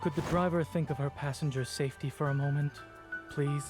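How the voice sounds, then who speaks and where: Deadpan male doctor over clear music, acted. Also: fairly high pitch, a little fast, natural voice. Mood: dry, teasing. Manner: deadpan, terse, composed. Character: cool, dry-witted male doctor, reserved and teasing. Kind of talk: romance game voice acting. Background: background music.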